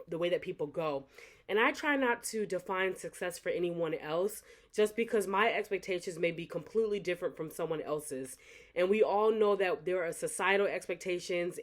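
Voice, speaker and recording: Earnest young woman giving motivational talk. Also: fairly low pitch. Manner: earnest, reflective, repeats phrases for emphasis. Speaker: author thanking supporters. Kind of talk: motivational direct-to-camera talk.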